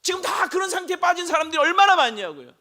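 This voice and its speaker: A man preaching a sermon as senior pastor, hoarse from a throat cold, asking the congregation questions and rising to shouts. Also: extremely high pitch, sing-song, fast talker. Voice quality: gravelly.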